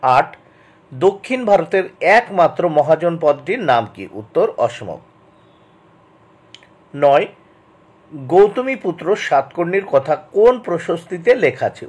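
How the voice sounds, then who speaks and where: A man giving an instructional exam lesson, reading out questions and answers deliberately, repeating key points and addressing students directly. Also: on the high side, very animated, breathy edge. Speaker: exam tutor.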